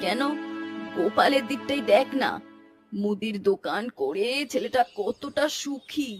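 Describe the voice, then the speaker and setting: A woman's voice: medium pitch, expressive, measured pace. A woman voicing a worried, nagging village mother in a cartoon, chiding and plaintive. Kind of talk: Bengali cartoon dialogue. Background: music.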